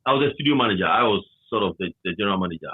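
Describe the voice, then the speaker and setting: A Ghanaian man reminiscing conversationally as an interviewee, with a husky voice and a habit of repeating phrases for emphasis, over a tinny line. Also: fairly low pitch, lively intonation, racing, no echo. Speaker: interviewee, former campus radio manager.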